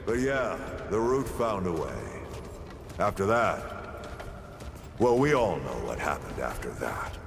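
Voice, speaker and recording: Slow, low-voiced weary older man. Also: sing-song, noisy background, full character voice. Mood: grim, reflective. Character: weary older male founder, haunted, gruff storyteller. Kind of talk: cinematic video game acting. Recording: loud music behind the voice.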